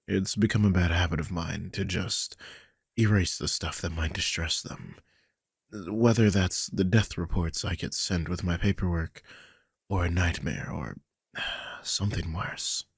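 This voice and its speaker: A man's voice: sits low, steady tone, measured pace, no room sound, clean studio sound, heavily acted. A man playing a worried, guilty vampire king who is protective of his charge, confiding wearily in an audio roleplay. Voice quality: husky, breathy. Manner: confiding, weary, sighing, swears. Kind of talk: dramatic audio roleplay, one side of a conversation.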